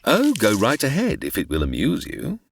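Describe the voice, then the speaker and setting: Wildly theatrical, gloating mad-scientist villain. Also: male voice, medium pitch, huge pitch swings, moderate pace, very noisy. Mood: smug, condescending. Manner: theatrical, condescending, formal. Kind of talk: old-time radio melodrama parody acting.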